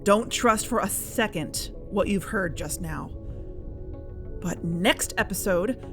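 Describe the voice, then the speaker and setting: A woman playing a defensive, self-assured investigative podcast host with a deliberate, insistent manner. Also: medium pitch, music in the background, lightly acted. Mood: composed, defensive. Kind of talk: scripted narration in audio drama.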